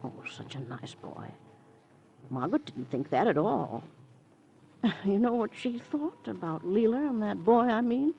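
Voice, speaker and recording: A woman's voice: mid-range voice, big pitch swings, measured pace, vocal fry, mellow. An actress playing a chatty, gossipy female neighbor with a hesitant, confiding way of talking, in 1950s radio drama style. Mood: confiding, insinuating. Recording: muffled, hissy old radio recording.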